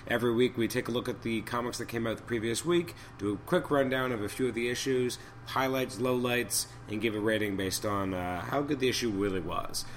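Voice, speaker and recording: A man hosting a podcast of off-the-cuff reviews, his voice a little hoarse and raspy from a cold, thinking aloud in a halting way. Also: mid-range voice, slight background noise. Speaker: podcast host. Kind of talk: unscripted comic book review commentary.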